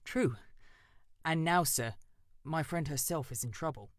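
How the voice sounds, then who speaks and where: A man's voice: a little high, a little slow, dry recording, clean studio sound, natural voice. A man voicing a polite, eager young male crow in a storybook reading. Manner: polite and respectful.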